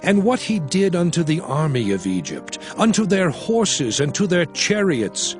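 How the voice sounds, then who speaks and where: A man playing a solemn prophet who exhorts his people in a measured, declamatory delivery for a dramatized scripture recording. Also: fairly high pitch, huge pitch swings, faint music behind.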